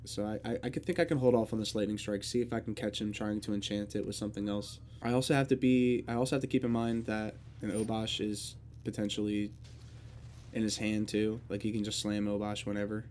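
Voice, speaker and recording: A young man with a congested voice and a sore throat, casually talking through a digital card game as he plays and thinks out loud. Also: on the low side, brisk, a little hiss or hum. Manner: relaxed, thinking aloud while playing. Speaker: gaming video creator.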